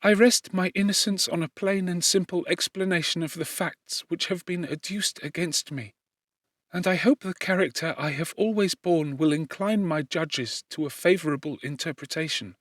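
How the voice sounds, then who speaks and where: Man voicing an earnest young woman, raised pitch. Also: fairly bright tone, no echo, clean studio sound, close to an everyday voice. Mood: earnest pleading. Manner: earnest, measured, solemn pleading. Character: innocent accused young servant woman pleading with composure.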